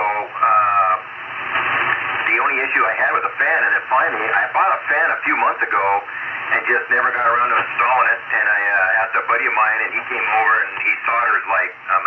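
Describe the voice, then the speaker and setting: A man chatting casually as an amateur radio operator, in a rambling, halting way, heard over a shortwave radio transmission. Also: fairly low pitch. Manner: rambling, halting, self-interrupting. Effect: shortwave ham radio transmission. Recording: very noisy, muffled shortwave radio signal, static. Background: loud radio static.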